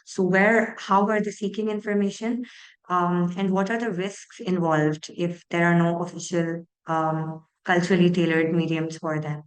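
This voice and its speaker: Female moderator, measured and thoughtful, slightly low voice. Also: steady tone, rough recording. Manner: measured, posing long multi-part questions. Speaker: host and moderator. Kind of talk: moderating a panel discussion.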